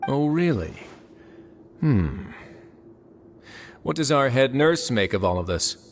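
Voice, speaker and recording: A man playing a composed, shrewd authority figure in an anime game, measured and dryly questioning. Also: mid-range voice, big pitch swings, slight background noise. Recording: clear music behind.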